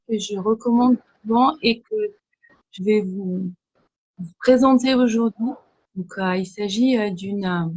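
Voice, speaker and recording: A woman's voice: medium pitch, poor audio. A woman hosting an interview for her travel website, introducing her guest, with frequent filler sounds.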